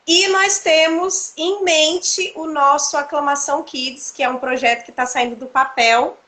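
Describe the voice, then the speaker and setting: A high-pitched woman, chatting casually on a video call. She tells her story in an animated way, laughing often and filling pauses as she goes. Her voice sits well above the usual for women. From Cuiabá, Mato Grosso, Brazil. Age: 30s. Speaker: church dance ministry leader, guest. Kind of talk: casual testimony chat.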